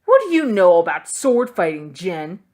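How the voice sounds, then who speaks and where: A woman's voice: on the low side, sing-song, normal speed, a little mellow. A woman voicing an arrogant, bullying older boy swordsman in an audiobook, sneering and superior. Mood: taunting.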